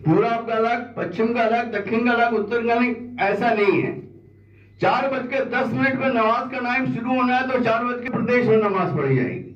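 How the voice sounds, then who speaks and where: A man's voice: very high-pitched, moderate pace, a little hiss or hum. A man making a public statement of grievance in an insistent, argumentative way, with rhetorical questions and repetition. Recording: echoey, muffled, distant microphone.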